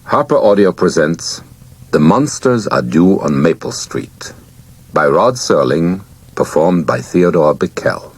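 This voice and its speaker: A man with a very low voice makes a formal announcement for an audiobook. There is faint noise behind him on the old tape. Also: expressive, measured pace.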